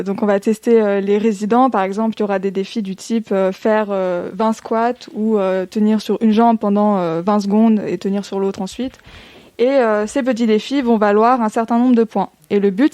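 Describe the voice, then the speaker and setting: Young female volunteer, early twenties, soft dark timbre, mid pitch, informal interview explanations. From Bourg-en-Bresse, eastern France. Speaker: civic service volunteer.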